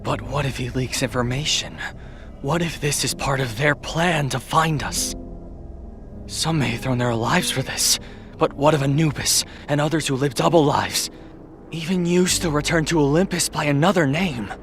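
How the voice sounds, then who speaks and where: A man's voice: a little high, normal speed, lightly acted. A man playing a brooding, jealous god of death in an audio drama, speaking with an intense, challenging manner. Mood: urgent pleading. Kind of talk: scripted audio drama acting. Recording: music under the voice. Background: music.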